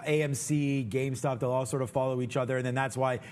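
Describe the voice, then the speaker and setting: A man's voice: medium pitch, steady tone, quick pace, a little mellow, a little hiss or hum. A man co-hosting a live trading show, giving casual market commentary in an informal, chatty way straight to viewers.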